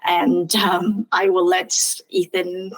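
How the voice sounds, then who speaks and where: A woman, a charity board member, hosts a webinar over a video call, speaking slowly and hesitantly with many pauses. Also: lowish voice, level tone, poor audio. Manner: hesitant, with frequent "um" fillers and a slow, careful delivery. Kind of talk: webinar introduction.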